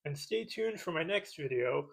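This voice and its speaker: A man's voice: on the high side, animated, moderate pace, vocal fry. A man delivering scripted comedic commentary in a sarcastic, pedantic manner as a video host. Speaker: host of a movie-criticism parody video.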